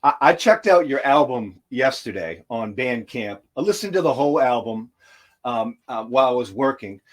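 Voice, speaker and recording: A man's voice: mid-range voice, expressive, moderate pace. An American man hosting a casual interview, laid-back and enthusiastic, who says man a lot. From United States. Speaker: podcast host and rapper.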